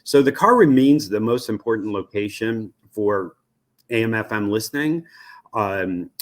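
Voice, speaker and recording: An American man speaking as an interview guest, giving conversational expert commentary in a measured way, often halting to search for the right word. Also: lowish voice, expressive. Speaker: interview guest, radio research executive.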